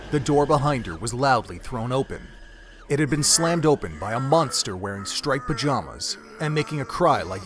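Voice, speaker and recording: A man's voice: mid-range voice, fairly bright tone. A man reading scripted narration for an audio drama in a dramatic storytelling manner. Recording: music under the narration.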